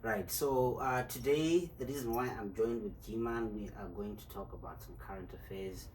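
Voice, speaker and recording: Low-voiced man hosting a chat, roomy sound. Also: moderate pace. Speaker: podcast host. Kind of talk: casual podcast conversation. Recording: roomy sound from a distant mic with noise.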